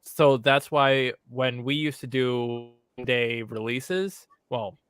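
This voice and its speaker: A man's voice: mid-range voice, slow pace, fairly bright tone, clean tone, dry recording, clean studio sound. A man explaining things in a casual panel chat. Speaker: online video creator, panel guest.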